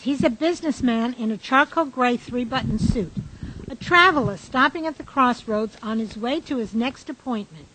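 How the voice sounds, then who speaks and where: A woman's voice: sits high, a bit bright, breathy edge, full character voice, poor audio. A woman reading scripted stage directions as the matter-of-fact narrator of a radio drama.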